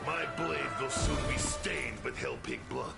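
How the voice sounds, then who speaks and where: Game role: proud, brooding Russian war veteran, gravelly fry, theatrical menace, heavy music underneath. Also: male voice, medium pitch, huge pitch swings, measured pace, heavily acted. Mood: menacing, proud. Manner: grandiose, menacing, clipped grammar. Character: battle-hardened gruff Russian soldier, brooding and proud. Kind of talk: video game character lines. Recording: loud game music over the voice.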